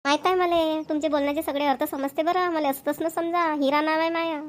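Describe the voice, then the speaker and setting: A woman voicing a proud, sharp-tongued rural wife in a cartoon, bickering and boastful. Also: high voice, steady tone, brisk, noisy background, lightly acted. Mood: teasing. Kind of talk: cartoon voice acting.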